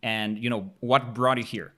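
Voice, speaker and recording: A man's voice: medium pitch, fairly even intonation, normal speed, bright, forceful tone. Man, podcast host, casual, effusive, repeats himself. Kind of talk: casual interview hosting.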